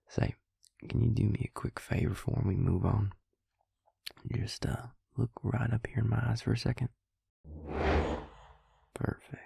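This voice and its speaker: Audio roleplay casting: male actor as suave, devious vampire dentist, low and unhurried, whispery soothing bedside patter, gravelly. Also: dry recording, clean studio sound, exaggerated voice. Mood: softly coaxing. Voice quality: breathy, gravelly, half-whispered. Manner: soft-spoken, slow, reassuring bedside patter. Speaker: dentist character. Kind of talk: intimate audio roleplay addressed to the listener. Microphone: close, dry microphone.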